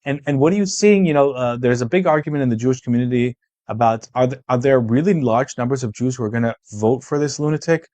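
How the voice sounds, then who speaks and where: A man's voice: medium pitch, quick pace, darkish tone, dry recording. A man from Israel hosting a political discussion, speaking emphatically and repeating phrases for weight. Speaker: podcast host interviewing a guest.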